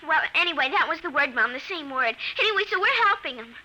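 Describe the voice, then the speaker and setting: A woman playing an excitable, earnest little girl in a 1950s radio drama, speaking with breathless, childlike insistence. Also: high voice, quick pace, exaggerated voice. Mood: earnest explaining. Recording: noisy vintage 1950 radio recording.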